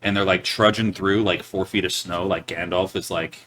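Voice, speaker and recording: Young male podcast co-host, low and tense-edged, quick to laugh, foul-mouthed. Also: fairly even intonation, fairly bright tone, slight background noise. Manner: laughs often, swears casually. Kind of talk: casual crude banter.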